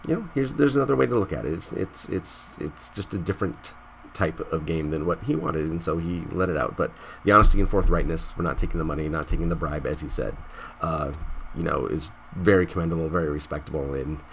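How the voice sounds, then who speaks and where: Man, very low voice, stuffy from a cold, casual rambling commentary. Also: brisk, gravelly, a little hiss or hum. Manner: rambling, restarts often, says "right?". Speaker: gaming channel host.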